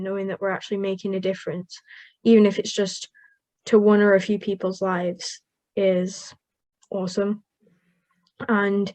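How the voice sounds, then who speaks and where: Young woman from Wales, Welsh first language, earnest and upbeat, mid-pitched, close dry recording. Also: a little mellow, no echo. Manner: earnest, upbeat, laughs often. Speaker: young carer guest. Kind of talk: interview answers.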